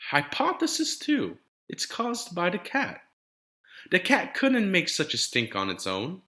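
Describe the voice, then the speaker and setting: A man reads a children's picture book aloud with very lively intonation and a slow, deliberate pace. His voice is bright and clear-cut in emphasis, leaning on each rhyme like a teacher telling a story to a class. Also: high voice. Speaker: elementary teacher reading to students.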